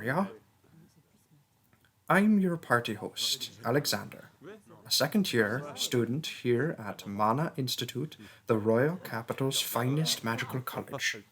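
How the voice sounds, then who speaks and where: A man voicing a friendly, chatty young mage student in a roleplay audio drama, speaking casually and a little hesitantly, straight to the listener. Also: mid-range voice. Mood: welcoming, mock-proud. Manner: casual, rambling, hesitant, jokey.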